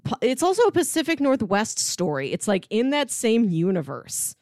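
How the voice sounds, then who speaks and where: A woman with a very animated, mid-pitched voice chats as a podcast co-host. She is talkative and playful, in a casual style. The sound is close and dry. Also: moderate pace, no room sound. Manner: chatty, playful. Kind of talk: casual podcast chat about media.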